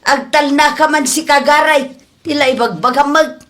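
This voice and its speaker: A woman's voice: sits high, moderate pace, fairly bright tone. A woman playing a gossipy, disapproving mother in a comedy radio drama, exclaiming and scolding. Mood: indignant gossip.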